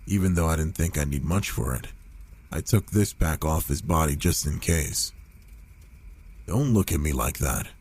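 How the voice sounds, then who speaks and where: A man voicing a gruff, reclusive werewolf who is reluctantly caring and protective, speaking haltingly in an ASMR boyfriend roleplay. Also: extremely low pitch, expressive, darkish tone, full character voice. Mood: gently attentive. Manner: slow, halting, murmured delivery with pauses.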